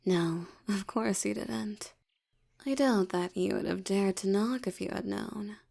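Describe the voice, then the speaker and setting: A woman playing a haughty, predatory female vampire in intimate audio roleplay, teasing and condescending, with a breathy murmur that slips toward a whisper. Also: mid-range voice, warm tone. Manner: teasing, condescending, slow deliberate delivery.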